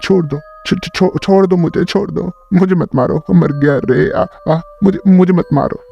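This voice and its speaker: A man's voice: sits high, steady tone, a little slow, creaky, warm tone. Guy playing a scared cowardly neighbour in a cartoon, stammers a lot, music behind. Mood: panicked begging. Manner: stammering, pleading. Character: nervous, cowardly male neighbour, a father. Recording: dull lo-fi sound, music behind.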